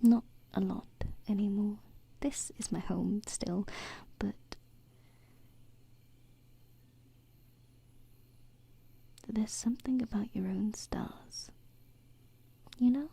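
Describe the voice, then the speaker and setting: A woman voicing a gentle, wistful alien princess in a breathy whispered ASMR roleplay, close to a binaural mic. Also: mid-range voice, heavily acted. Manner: slow, tender, reflective, with pauses. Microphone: close binaural microphone. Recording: close-up whisper with faint background noise.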